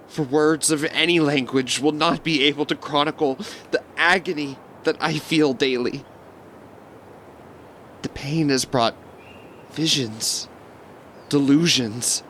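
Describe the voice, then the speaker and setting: Man playing a slow, despairing devout sufferer. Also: medium pitch, a bit bright, a little hiss or hum. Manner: solemn, formal, halting. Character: suffering, devout, despairing man praying through long illness. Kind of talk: fan radio play monologue.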